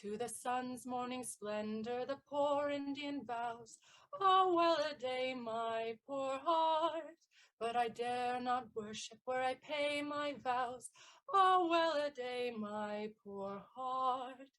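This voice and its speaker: A lovesick young page boy, plaintive and pleading. The voice sits high and the pace is slow and drawn out. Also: a bit bright. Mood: plaintive singing. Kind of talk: stage audio play acting.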